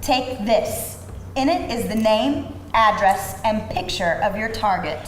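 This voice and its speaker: A woman's voice: medium pitch, animated, slow. A woman playing a domineering, scheming soccer mom with a curt, commanding, menacing manner at a screenplay table read. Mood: stern. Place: live event room before an audience. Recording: roomy live reading with faint noise.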